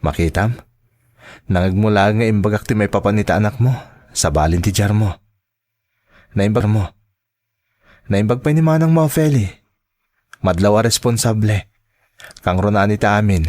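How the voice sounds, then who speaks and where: A man's voice: on the low side, normal speed, long pauses, a little mellow, no room sound, lightly acted. A man playing an earnest, polite young suitor in a radio drama, explaining himself with an Ilocano accent. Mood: defensive, reproving. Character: earnest, polite young suitor who works in fast food. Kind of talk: Filipino radio drama acting.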